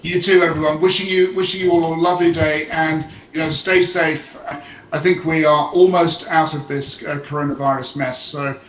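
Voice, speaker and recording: A man's voice: on the high side, steady tone, normal speed. A man speaking as a guest on a video call, stumbling and repeating his words. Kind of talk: casual thanks on a video call. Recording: echoey, distant, faint hiss.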